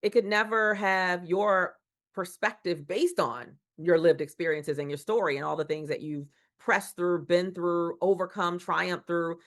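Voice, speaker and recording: Emphatic woman with a low voice. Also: fairly even intonation, measured pace. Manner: earnest, emphatic, preacher-like repetition. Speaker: guest speaker. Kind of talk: conversational interview.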